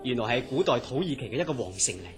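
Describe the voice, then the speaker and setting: A man's voice: medium pitch, sing-song, rapid-fire, over music, close to an everyday voice. A man acting a troubled, obsessed dreamer in a horror radio drama, speaking Hong Kong Cantonese in an earnest, dramatic way. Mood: obsessed, intense.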